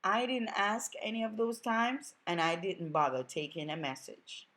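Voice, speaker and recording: A woman's voice: medium pitch, steady tone, normal speed, fairly bright tone, pitched above the performer's own voice, natural voice. A Jamaican woman voicing a sassy, outspoken young female legal secretary who teases and pokes fun. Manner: sassy, teasing.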